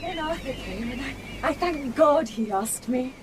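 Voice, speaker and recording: An actress playing a sweet, excitable young English gentlewoman, genteel and coaxing, in a period radio drama. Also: sits high, measured pace, music in the background. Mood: happy, wistful.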